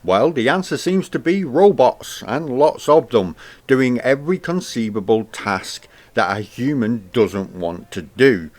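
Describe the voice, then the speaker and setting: Man narrating a game review with rising and falling phrases. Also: unhurried. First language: English. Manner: lively delivery, pitch rising at the start of each phrase and dropping at the end.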